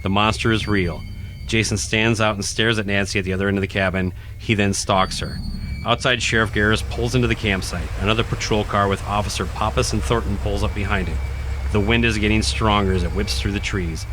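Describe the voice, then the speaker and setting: A man reading scripted horror narration in a dramatic, deliberate storytelling manner. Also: fairly low pitch, fairly even intonation, background noise. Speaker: narrator, podcast host.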